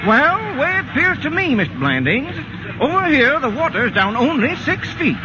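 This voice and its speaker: Casting: deadpan country well digger, very high swooping drawl, muffled vintage radio comedy. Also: male voice, moderate pace. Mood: laconic, deadpan. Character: laconic, unbothered rural well digger, deadpan. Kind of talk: 1940s radio comedy acting. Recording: muffled noisy old radio broadcast.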